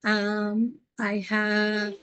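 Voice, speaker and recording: A middle-aged woman from Puerto Rico, the president of a nonprofit, answering interview questions earnestly with many pauses and ums. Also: medium pitch, completely flat delivery, a bit bright, slight background noise.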